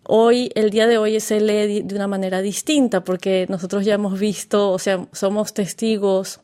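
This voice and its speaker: A woman's voice: mid-range voice, level tone, normal speed, mellow. A woman hosting an interview, asking long, winding questions with many fillers. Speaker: interview host.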